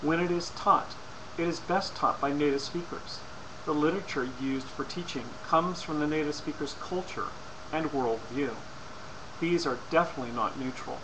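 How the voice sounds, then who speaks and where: A man with a slightly higher-than-average voice reads out a persuasive, scripted lecture. His delivery is formal and deliberate, with the odd stumble over a word. The recording has clearly audible noise behind him. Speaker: presenter. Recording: clearly noisy amateur recording.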